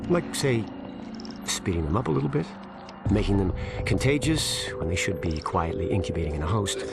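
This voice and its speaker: A calm, lecturing villain scientist. His very low voice rolls up and down with animated pitch as he coolly explains the unthinkable. A loud game score plays behind him. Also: normal speed, gravelly, loud music behind the voice, exaggerated voice. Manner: calm, lecturing, chillingly matter-of-fact. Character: cold-blooded male scientist villain lecturing.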